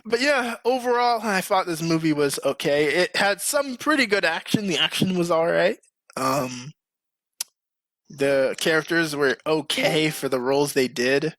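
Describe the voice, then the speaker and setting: A man's voice: a little high, lively intonation, a little slow, a bit bright, dry recording. A man hosting a podcast in casual, opinionated panel chat, with slangy phrasing. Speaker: podcast host.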